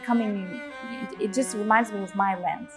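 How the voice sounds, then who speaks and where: A woman in her twenties, born in Syria, a cellist and teacher speaking in a relaxed interview, with many pauses and fillers. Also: medium pitch. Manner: hesitant, many fillers, warm about music. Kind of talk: relaxed personal interview answers.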